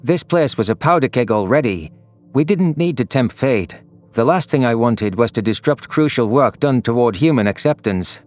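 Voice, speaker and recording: A man's voice: medium pitch, lively intonation, moderate pace, a little mellow. A man reading scripted, dramatic narration for a science fiction audio story. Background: background music.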